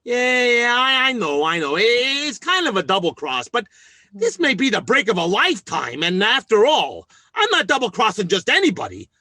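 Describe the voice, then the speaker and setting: An actor playing a scheming, self-important working-class husband in an old-time radio sitcom, with blustery, folksy speech. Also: male voice, sits high, wildly swooping pitch, moderate pace, bright, forceful tone, no echo, exaggerated voice.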